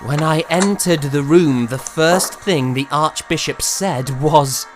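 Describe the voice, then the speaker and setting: Music plays under a man acting as a proud young composer in a period audio drama. He speaks at an unhurried pace in formal, old-fashioned phrases, with a theatrical storyteller's delivery. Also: fairly high pitch. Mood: wry, dramatic. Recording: music behind the voice.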